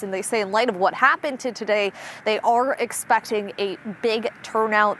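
A woman's voice: mid-range voice, fairly bright tone. Female American news reporter, twenties, mid-range voice, light background noise.

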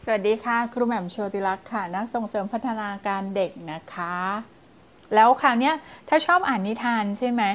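A high-voiced woman in her thirties speaks to parents as a child development teacher, animated and expressive, breaking into playful story voices to demonstrate. She is close on a lapel mic in a small indoor studio. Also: fairly quick, slight background noise. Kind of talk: parenting advice video. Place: small indoor studio in front of blue backdrop.

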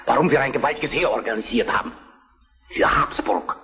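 A stern Prussian minister-president played by a male actor from a historical radio play. His voice sits at a middle pitch. He declaims with emphasis, defiant and fiercely loyal to his crown. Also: a little fast, sharp tone, lightly acted. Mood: grave, urgent.

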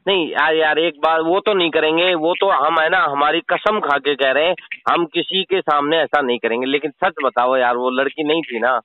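A man with a fairly high voice talks over a narrow, tinny phone connection. He argues in an informal way, probing and pressing the other person to hear him out. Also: fairly even intonation, moderate pace. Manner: informal, probing, repeatedly demanding to be heard. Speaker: scam-baiter confronting a caller. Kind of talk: casual phone argument. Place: recorded phone call.

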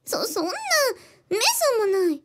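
A woman's voice: very high-pitched, lively intonation, rapid-fire, noisy background. A woman playing an earnest genius little girl in a radio drama, speaking with quaint, archaic, samurai-like politeness. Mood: innocent. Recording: music behind the voice. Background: music.

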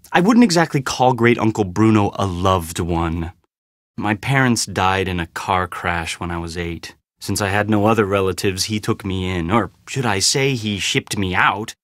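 Man playing guarded young guy, video game dialogue, lowish voice, weary sarcasm, casual, dry studio sound. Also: no echo, close to an everyday voice. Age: young adult. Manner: casual, sarcastic, slightly awkward.

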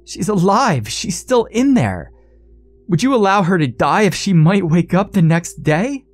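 Man acting a desperate, shouting husband, pitched up. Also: big pitch swings, measured pace, soft background music, exaggerated voice. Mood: desperate defiance. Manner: shouting, pleading, defiant. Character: desperate grieving husband, defiant and loud.

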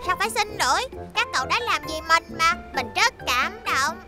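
A woman voicing an excitable, friendly, talkative young newcomer in a dubbed children's cartoon, expressive and eager. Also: extremely high pitch, normal speed. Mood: grateful, delighted. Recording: loud cartoon music underneath.

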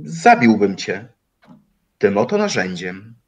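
An actor playing a calm, mocking old watchmaker and philosopher in an audio drama, speaking in deliberate, formal phrases. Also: male voice, fairly low pitch, exaggerated voice. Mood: brooding, menacing. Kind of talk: audio drama acting, literary dialogue.

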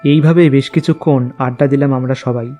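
A man's voice: mid-range voice, fairly even intonation, fast, dark tone, faint music behind. A man narrating a scripted story in the first person.

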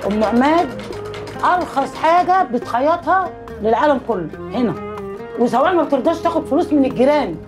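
Egyptian woman as a local neighbour speaking informally, lightly high pitch, music underneath. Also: expressive, fast. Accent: Egyptian Arabic. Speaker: neighbour giving testimonial. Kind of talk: casual spoken testimonial.

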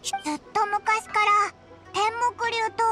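Squeaky, tiny fairy companion. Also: female voice, level tone, measured pace. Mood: curious. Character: tiny, cute, childlike floating fairy companion. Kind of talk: video game voice acting. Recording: loud background music over voice.

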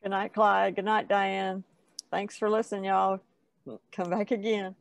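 A woman's voice: mid-range voice, flat delivery, unhurried, vocal fry, no echo. An older woman chatting casually on a video call from home. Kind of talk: casual chat.

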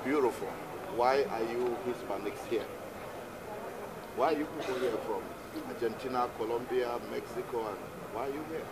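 A man interviewing guests on a convention floor, asking loud, enthusiastic questions. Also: mid-range voice, moderate pace. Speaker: interviewer, magazine editor. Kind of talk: informal on-the-spot interview. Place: busy convention hall exhibit floor. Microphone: camera on the table. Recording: crowded hall noise. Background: crowd chatter.

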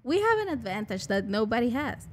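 A woman's voice: on the high side, big pitch swings, brisk, slight background noise. A woman with a Venezuelan Spanish accent, a native Spanish speaker co-hosting a casual podcast, laughing often. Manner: giggly, warm interjections. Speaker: co-host. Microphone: studio mic on arm, headphones.

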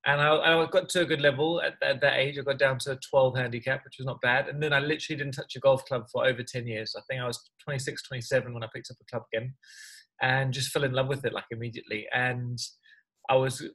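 A man's voice: medium pitch, steady tone, fast talker, fairly bright tone, low-quality recording. A man in his early thirties, a personal trainer, talking casually as a guest over a video call from home, easygoing and rambling with repeats. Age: 30s. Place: home room, indoors. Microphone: video call webcam.

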